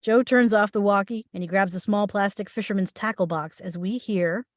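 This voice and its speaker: A woman gives scripted narration of screen directions at a table read. Her pitch sits in the middle range, and the sound is dry with little room echo. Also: normal speed. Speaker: stage direction reader.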